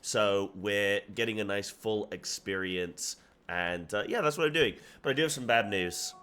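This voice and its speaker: A man's voice: lowish voice, normal speed, smooth. A young man with a cold, sounding a little nasal, chatting casually and animatedly as he recaps his week of gaming for his viewers. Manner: chatty, animated, with frequent restarts. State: sick, slightly nasal. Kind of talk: casual solo recap to camera.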